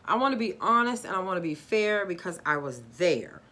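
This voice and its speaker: A woman's voice: fairly low pitch, fairly quick. A woman in her forties from Baltimore with a Baltimore accent, chatting casually to viewers in a living-room video review. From Baltimore, USA. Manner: animated, talks with her hands, addresses viewers as guys. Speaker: YouTube vlogger. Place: decorated living room, indoors.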